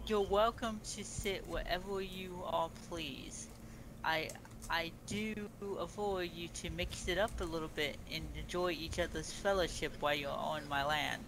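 A man with a somewhat high voice speaks in a formal, stately roleplay manner. His voice comes over noisy game chat. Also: fairly even intonation, unhurried. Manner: stately, welcoming host speech. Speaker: roleplayer leading a faction. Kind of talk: formal in-character roleplay speech.

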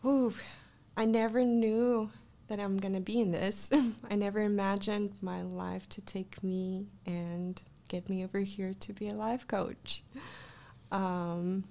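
A woman's voice: medium pitch, flat delivery, slow, mellow. A woman from Russia with a Russian accent, telling her personal story as a guest in a casual interview, close on a studio microphone. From Russia, born in Kazakhstan. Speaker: life coach, podcast guest. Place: small podcast studio, indoors. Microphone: close studio microphone, headphones.

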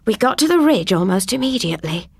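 Woman, audiobook narrator, husky voice, first-person ghost story, dry sound. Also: mid-range voice, very animated, quick pace, darkish tone, no echo.

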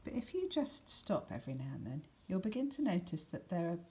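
A woman reading a children's picture book aloud, with deliberate, expressive emphasis and some hushed words. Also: on the low side. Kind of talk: expressive scripted storybook narration for children.

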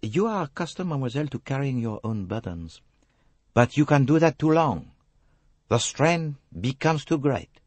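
A man voicing an elderly, courteous, shrewd foreign detective in an audiobook, speaking with slow, formal emphasis. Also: mid-range voice, big pitch swings, darkish tone, no room sound, pitched above the performer's own voice. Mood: grave, insistent.

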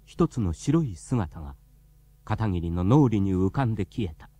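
A man giving measured, formal scripted narration for a radio drama. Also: sits low, animated, dark tone, no echo. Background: music.

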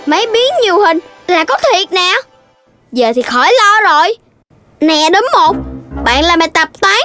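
A woman's voice: very high-pitched, animated, normal speed. A woman voicing a playful, lazy, whiny little child in a children's cartoon, with exclamatory delivery. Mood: excited. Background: children's music.

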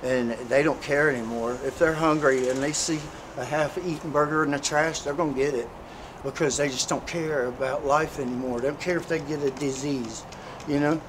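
A man's voice: on the high side, steady tone, moderate pace. Earnest, preachy man on a noisy street. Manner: preachy, earnest, fillers like "you know". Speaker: interviewee. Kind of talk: street interview, casual storytelling.

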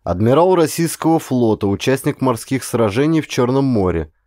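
Male narrator for adventure fiction, dark mellow tone, lively intonation, close dry sound. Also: medium pitch, normal speed, no echo. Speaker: audiobook narrator, actor. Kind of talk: scripted dramatic audiobook narration of an adventure novel.

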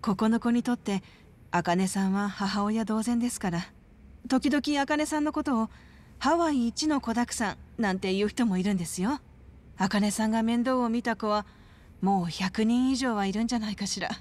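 An actress voicing a polite, composed middle-aged orphanage worker with a worried, formal way of speaking in a video game cutscene. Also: female voice, on the high side, normal speed, a little mellow, close to an everyday voice. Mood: calm, earnest.

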